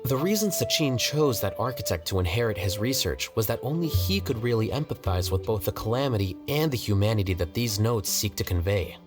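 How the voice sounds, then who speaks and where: Man playing a low-voiced, aloof scholar. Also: animated, music in the background, lightly acted. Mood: detached, lecturing. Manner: measured, detached, formal, didactic. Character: aloof, rational young male scholar, coolly detached. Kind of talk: video game voice acting.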